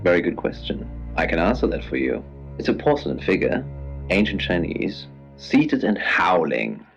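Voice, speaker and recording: An actor playing an eerie, theatrical male host of a spooky late-night radio show, speaking deliberately. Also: deep voice, big pitch swings, creaky, exaggerated voice. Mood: ominous storytelling. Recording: dull lo-fi radio sound over music. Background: background music.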